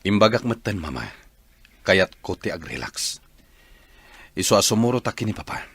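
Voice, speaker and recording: A man's voice: on the low side, very animated, normal speed, creaky, fairly bright tone, breathy edge, no echo, heavily acted. An actor with an Ilocano accent playing a stressed grown son in a radio drama who insists and pleads with his mother. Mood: insistent.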